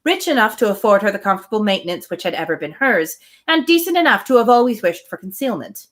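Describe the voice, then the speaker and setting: Animated woman reading a novel aloud. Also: medium pitch, fast talker. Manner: expressive, animated storytelling. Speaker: reader hosting a read-aloud series.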